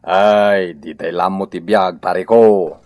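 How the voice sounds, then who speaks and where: A man's voice: deep voice, steady tone, darkish tone, no echo. An actor playing a hungry, jokey village man who grumbles and exclaims in a comedic radio drama. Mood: grumbling. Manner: exclaiming, drawn-out complaints.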